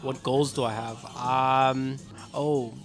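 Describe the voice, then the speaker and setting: A man in his twenties answering questions casually, joking and thinking aloud with relaxed hesitations. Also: mid-range voice, slow, loud music behind the voice. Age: 20s. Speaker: interviewee, birthday guest.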